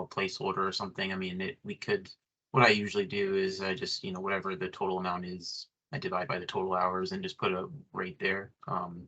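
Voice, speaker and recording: A man with a low voice and a bright, forceful edge speaks as an attendee at a workplace training. He is informal and tentative, thinking his way through what he wants to check. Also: low-quality recording. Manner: tentative, seeks confirmation. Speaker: training attendee asking questions. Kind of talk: informal workplace question and discussion.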